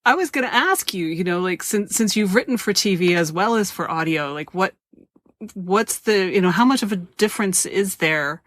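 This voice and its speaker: A woman's voice: mid-range voice, expressive, normal speed, a bit bright, no room sound. A woman hosting a podcast, asking and responding in a casual, conversational interview style. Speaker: podcast host interviewing guests.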